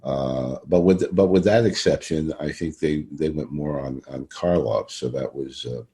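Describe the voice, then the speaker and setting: A man hosting a casual conversational interview, speaking in a halting, rambling way and often repeating himself. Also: extremely low pitch, mellow. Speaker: podcast interviewer.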